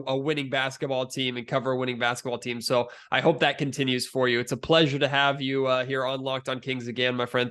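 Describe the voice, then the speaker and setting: A young adult man with an American accent speaks as a podcast host. His delivery is conversational, talking sports directly to his guest and listeners, with a medium-pitched voice. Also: fairly bright tone.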